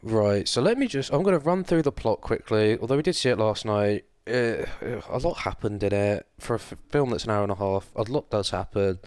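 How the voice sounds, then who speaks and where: A man's voice: on the low side, expressive, fairly quick, hardly any pauses, darkish tone, clear, resonant tone, dry recording, clean studio sound. A man co-hosting a film podcast, chatting casually with wry, sarcastic humour and some swearing. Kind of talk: casual chat, recapping a film plot.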